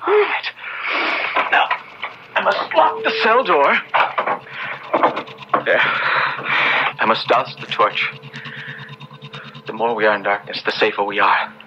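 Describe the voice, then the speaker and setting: An actor in an old radio drama playing an earnest, devout young clergyman, halting and urgent, often repeating himself. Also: on the low side, huge pitch swings, full character voice. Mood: hushed, urgent. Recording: dull, noisy old radio drama recording.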